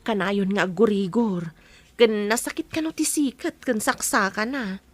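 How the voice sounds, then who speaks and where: Mid-pitched actress as concerned, frank aunt. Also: female voice, normal speed, no room sound, natural voice. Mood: explaining. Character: concerned aunt giving frank, gentle counsel. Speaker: actor in a drama. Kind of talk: Ilocano audio drama acting.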